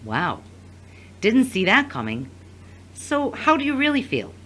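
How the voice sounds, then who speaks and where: A woman's voice: on the high side, huge pitch swings, unhurried, a bit bright, full character voice. A woman playing a frank, watchful confidante in an audio drama, with a teasing, probing manner. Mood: curious, probing. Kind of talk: scripted audio drama acting.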